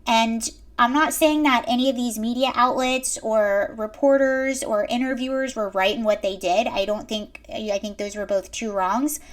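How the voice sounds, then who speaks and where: A young woman with a high-pitched voice giving emphatic commentary straight to her viewers. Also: fairly even intonation, normal speed, hardly any pauses. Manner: emphatic, lecturing, addresses viewers directly. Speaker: commentary host. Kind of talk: reaction commentary to camera.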